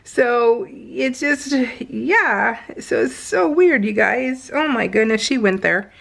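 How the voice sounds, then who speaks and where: A woman's voice: fairly high pitch, expressive, slow pace, vocal fry, fairly bright tone. A middle-aged woman chatting casually over a craft video, gushing and slangy, telling stories with plenty of exclamations. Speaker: craft vlogger.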